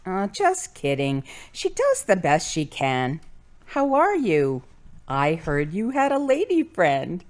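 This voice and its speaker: A woman's voice: fairly low pitch, wildly swooping pitch, slow pace, heavily acted. A woman acting an older, playful, nagging and affectionate mother in an audio drama. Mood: affectionate, teasing.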